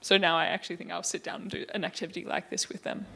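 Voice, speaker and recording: A volunteer group leader gives reflective, measured feedback at a workshop. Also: mid-range voice, flat delivery, gravelly, bright, forceful tone, a little hiss or hum.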